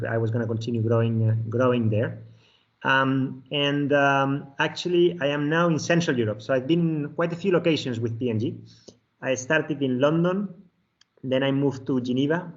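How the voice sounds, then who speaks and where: Spanish-accented man, muffled call audio. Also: medium pitch. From Spain. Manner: chuckles often, many fillers and restarts. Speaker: guest, finance executive. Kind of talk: relaxed interview answers.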